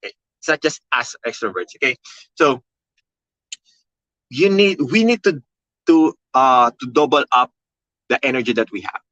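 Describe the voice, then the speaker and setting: A man with a Filipino accent and a loud voice, teaching a motivational webinar with emphatic, high energy, repeating key phrases and often checking in with okay and all right. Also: animated, moderate pace, long pauses, no room sound, rough recording. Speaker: content creation coach.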